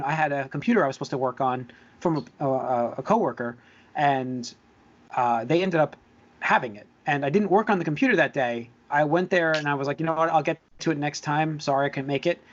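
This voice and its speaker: A man's voice: fairly high pitch, fairly quick, muffled recording. A man hosting a casual movie-news podcast, talking in a hesitant way and repeating himself as he makes his point. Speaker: podcast host.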